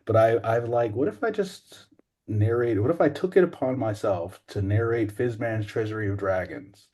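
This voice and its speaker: A man's voice: medium pitch, normal speed, a little mellow. A man co-hosting a podcast in casual, conversational chat. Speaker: podcast co-host.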